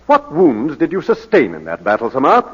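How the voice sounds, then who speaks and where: An actor playing a formal, courteous British barrister examining his client, with measured oratory in 1940s radio drama style. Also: medium pitch, huge pitch swings, a bit bright, breathy edge, full character voice. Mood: measured, probing. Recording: muffled vintage 1940s radio broadcast.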